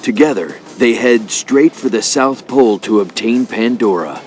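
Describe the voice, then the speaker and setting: A man as a solemn storyteller, giving measured, dramatic scripted narration for video game cutscenes. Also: medium pitch, expressive, a little mellow, a little hiss or hum, natural voice. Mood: solemn, expository. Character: solemn omniscient male storyteller of dark legends.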